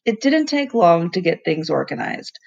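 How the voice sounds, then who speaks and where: A woman teacher reading a children's novel aloud to her class, plain and unhurried, correcting small slips and adding asides to the kids. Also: fairly low pitch, sing-song, vocal fry, a little mellow, dull audio. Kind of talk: read-aloud storybook narration.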